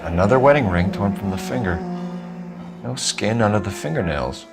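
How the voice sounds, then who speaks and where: Serious detective for a crime game, clipped and questioning, lively pitch, clear music underneath. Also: male voice, moderate pace. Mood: grave. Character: earnest, driven male police detective. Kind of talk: video game dialogue.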